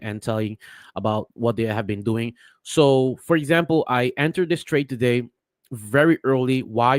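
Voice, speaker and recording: A man whose first languages are Papiamento and Spanish, explaining crypto trades in a halting way with many restarts as a vlogger. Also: mid-range voice, clean tone, no room sound, studio quality. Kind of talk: casual screen-share talk.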